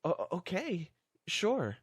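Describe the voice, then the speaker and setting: A performer voicing an apologetic, flustered father pony in an animated fan drama, stammering and hesitant. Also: medium pitch, slow, slightly rough, no echo, clean studio sound, full character voice. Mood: flustered, surprised.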